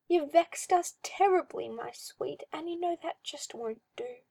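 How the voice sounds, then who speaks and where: A woman's voice: very high voice, vocal fry, mellow, no room sound, voice pushed up, full character voice. A cruel, possessive male magister, as a woman reader puts him on, croons with sly mock tenderness and stretched-out words. The sound is dry and close. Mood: cooing menace. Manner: cooing, drawn-out, mock-tender.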